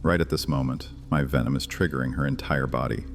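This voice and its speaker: An actor playing an ancient, imperious vampire high priest in a horror audio drama, commanding, mocking and theatrical. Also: male voice, steady tone, a little fast. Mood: menacing command. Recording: long cavernous reverb, faint music underneath.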